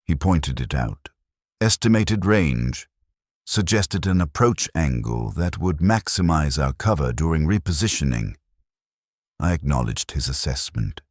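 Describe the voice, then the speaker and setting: A man reading a scripted first-person war story in a detached, clinical and measured manner. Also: a bass voice, big pitch swings, no room sound, clean studio sound. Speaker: narrator of a science fiction war story.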